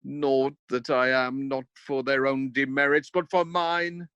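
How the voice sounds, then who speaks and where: A man acts out a proud nobleman broken by grief. His delivery is slow, halting and anguished, in verse for an amateur radio play. The sound is dry, with little room in it. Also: mid-range voice, little pitch movement, fairly bright tone, clear, resonant tone, dry recording, muffled recording, natural voice. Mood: anguished guilt. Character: grief-stricken Scottish nobleman, proud warrior father. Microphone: recorded over video call.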